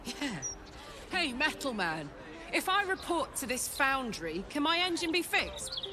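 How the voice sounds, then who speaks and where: A woman's voice: high-pitched, big pitch swings, measured pace, over music. An actress playing a brash, foul-mouthed female warrior in a video game, talking bluntly and informally with a sardonic edge. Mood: hopeful, tentative. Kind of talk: scripted video game acting.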